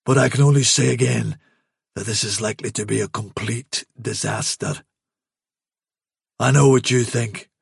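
A man voicing a veteran male rabbit who gravely pleads against a dangerous plan. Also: steady tone, normal speed, long pauses, no room sound, clean studio sound, heavily acted. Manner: grave, pleading, low-voiced.

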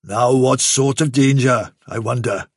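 A man voicing an elderly rabbit chief in a storybook, politely condescending, vague and evasive, with drawn-out, bumbling courtesy. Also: medium pitch, breathy edge, no echo, studio quality. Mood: mildly patronising. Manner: bumbling, over-courteous, drawn-out patronising phrasing.

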